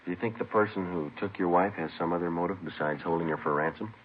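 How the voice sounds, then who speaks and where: A man acting a terse, businesslike police detective narrating his case in deadpan, clipped American radio style. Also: low-pitched, quick pace, a little hiss or hum, lightly acted. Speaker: lead actor and narrator. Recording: tinny vintage radio recording with music.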